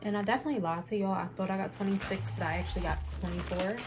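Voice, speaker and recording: A woman's voice: mid-range voice, fairly even intonation, rapid-fire, loud music behind the voice. A young adult woman with an American accent, a vlogger chatting casually to the camera. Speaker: beauty and lifestyle vlogger.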